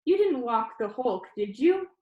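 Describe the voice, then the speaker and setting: Storybook figure: shrill, demanding grandmother, as a woman reader puts her on, high and sharp, in a roomy echo. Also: very animated, unhurried, phone-quality sound. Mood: scolding. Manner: scolding, demanding.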